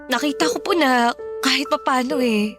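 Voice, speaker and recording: A woman's voice: high-pitched, normal speed, close to an everyday voice. A woman playing a devoted, anxious Filipino mother in a radio drama, earnest and emotional. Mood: weary, hopeful. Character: devoted, hopeful, anxious mother of a sick boy. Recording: music bed behind.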